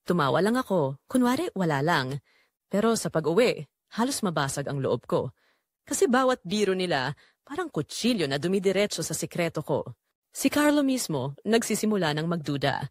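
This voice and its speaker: Filipino mom played by a woman confessing in an audio drama, emotional n guilty, pitch moves a lot, super dry sound. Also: very animated, moderate pace, no room sound. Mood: uneasy, guilty. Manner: earnest, emotional, reflective. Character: guilt-ridden Filipino mother confessing a painful family secret. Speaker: first-person narrator of an audio drama.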